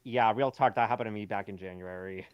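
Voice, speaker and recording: A man's voice: fairly low pitch, fast, clear, resonant tone, muffled recording. A man of thirty chatting casually as a guest on a livestream. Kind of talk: casual chat.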